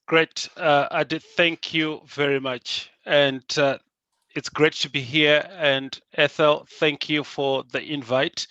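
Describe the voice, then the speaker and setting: A man's voice: a little high, level tone, slow pace, bright, forceful tone, no room sound, muffled recording. A man moderating a panel, polite with hesitant fillers, over a video call.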